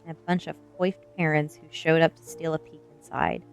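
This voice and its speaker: Low-voiced woman reading a story, soft music. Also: little pitch movement, brisk, gravelly. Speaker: streamer reading a novel aloud. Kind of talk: ASMR storybook narration, first person.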